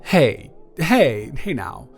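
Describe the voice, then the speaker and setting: A man's voice: mid-range voice, very animated, very slow, creaky, husky, faint music behind, exaggerated voice. A man playing an awkward, affectionate young ex-boyfriend in an improvised intimate audio roleplay, talking in a hesitant, playful, earnest ramble. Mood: confused, earnest.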